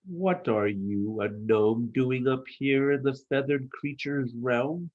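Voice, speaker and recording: A man's voice: mid-range voice, slow pace, narrow, tinny audio, pitched above the performer's own voice, natural voice. A man voicing a haughty goose who leads a flock in a storybook, challenging and dismissive. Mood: indignant.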